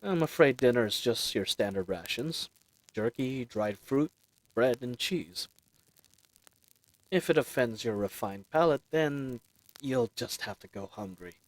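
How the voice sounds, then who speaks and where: A man's voice: medium pitch, natural voice, poor audio. A man playing a friendly, roguish bandit leader in a fantasy audio roleplay, talking in a casual, teasing, chatty way. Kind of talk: fantasy audio roleplay acting, speaking intimately to listener.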